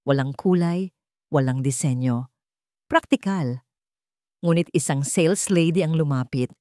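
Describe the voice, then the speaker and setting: Woman storyteller, low voice, dry close sound, very dramatic delivery. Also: a little slow, no echo. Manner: dramatic, expressive reading. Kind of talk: scripted romance narration.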